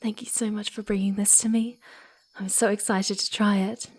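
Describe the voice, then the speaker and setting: A woman voicing a playful, sweet, eager young female fox spirit in a soft ASMR roleplay, cooing and giggly, often slipping into breathy whispers. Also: medium pitch, little pitch movement, normal speed, close to an everyday voice. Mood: blissful, chatty. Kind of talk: ASMR roleplay, speaking softly to the listener.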